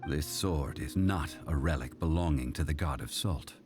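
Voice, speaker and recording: An actor playing a calm, stately ancient male god who speaks in a formal, measured, solemn way. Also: rumbling low, music in the background. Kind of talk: game voice acting.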